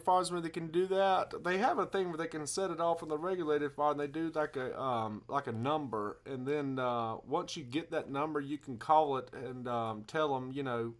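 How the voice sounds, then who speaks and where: A man's voice: fairly high pitch, fairly bright tone, lightly acted. A man with a Southern US accent playing a folksy, muddled hotel guest who rambles in double-talk on a prank phone call. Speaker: prank caller.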